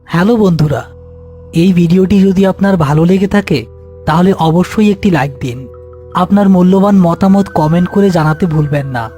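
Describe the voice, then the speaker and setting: A man's voice: sits high, warm tone. A man narrating a scripted horror cartoon story.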